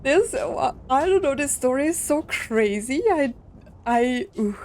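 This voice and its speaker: A woman's voice: high voice, expressive, a little slow, darkish tone, slight background noise. A woman game streamer giving casual, musing commentary in short reactions and reading subtitles aloud.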